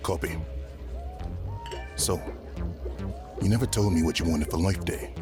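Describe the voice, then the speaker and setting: An actor playing an easygoing, wisecracking bounty hunter in an audio drama, casual and teasing. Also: male voice, deep voice, a little slow, music in the background. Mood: playful, alert.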